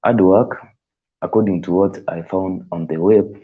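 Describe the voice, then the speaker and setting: A man whose first language is not English, hosting a live stream in casual, halting talk that rephrases as he thinks aloud. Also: deep voice, steady tone, breathy edge, narrow, tinny audio.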